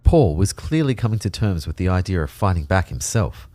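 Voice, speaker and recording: An actor playing an uneasy, observant narrator in a first-person audio drama, speaking in a reflective, measured way. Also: male voice, deep voice, very animated, background noise. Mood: wary.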